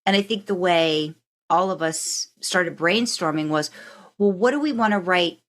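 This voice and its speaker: A woman's voice: fairly low pitch, measured pace. A woman hosting a podcast in casual chat, rambling a little as she thinks aloud. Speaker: podcast host.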